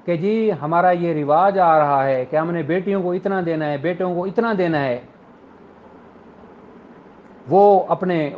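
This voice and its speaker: A man's voice: a little high, slight background noise. A man with a Pakistani accent giving an explanatory law lecture, reading passages out and breaking them down with everyday examples in a didactic way. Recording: dull, muffled lo-fi audio.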